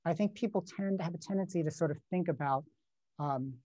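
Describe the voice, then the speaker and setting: Mellow-voiced man teaching over video call. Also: a little high, fairly quick, dull audio. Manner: casual, many fillers and "right?" tags. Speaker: composition teacher giving feedback. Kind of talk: online masterclass critique.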